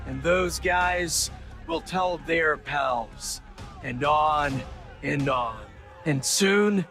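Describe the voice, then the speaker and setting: Man acting a menacing, gravelly, vengeful superhero. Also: on the high side, full character voice. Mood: menacing, contemptuous. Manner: deliberate, menacing, measured pauses. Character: vengeful, menacing male superhero threatening his enemy. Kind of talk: dramatic comic-book monologue. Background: music.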